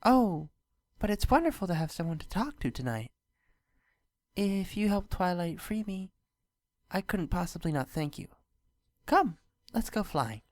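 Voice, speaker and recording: A storybook reader voicing a gentle, wistful, shy alicorn princess in a soft, formal manner. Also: mid-range voice, sing-song, unhurried, pauses often, no room sound, pitched above the performer's own voice. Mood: shyly pleased.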